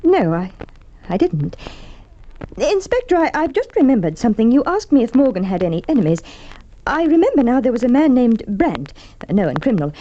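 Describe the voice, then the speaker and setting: A dull, lo-fi vintage radio drama recording carries an unhappy wife. Her tone is soft-edged and mellow. She is measured and hesitant, sidestepping as she schemes. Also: fairly high pitch, big pitch swings, slight background noise. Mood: flustered, evasive. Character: unhappy, scheming wife questioned over her husband's murder. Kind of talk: Golden Age radio drama acting.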